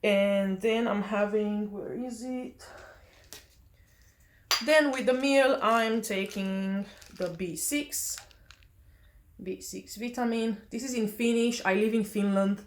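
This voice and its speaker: A woman's voice: mid-range voice, takes it slowly. A young woman from Romania, chatting casually in a vlog, hesitant with many fillers and self-corrections. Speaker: vlogger.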